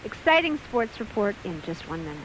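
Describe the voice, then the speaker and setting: A woman's voice: mid-range voice, sing-song, fairly quick, a little hiss or hum, rough recording. A woman co-hosting on radio with casual banter. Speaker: radio co-host.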